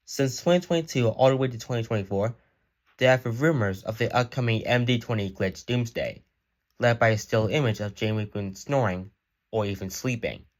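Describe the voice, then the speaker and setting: A man's voice: medium pitch, moderate pace. A man speaking as an emergency broadcast announcer, reading a scripted news announcement in a formal, solemn manner.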